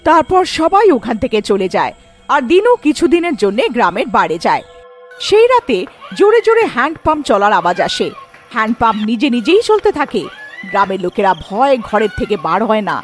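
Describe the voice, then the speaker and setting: High-pitched, animated woman storyteller. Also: fairly quick, faint music behind. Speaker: narrator. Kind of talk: scripted cartoon fairy-tale narration.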